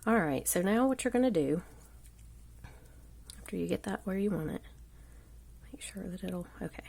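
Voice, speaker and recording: Congested woman with a gravelly voice. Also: medium pitch, lively intonation, moderate pace, darkish tone. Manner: chatty, rambling, often drops to a murmur. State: congested with a cold. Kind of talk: casual live craft tutorial. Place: indoors at a craft table. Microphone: overhead camera on hands at a table.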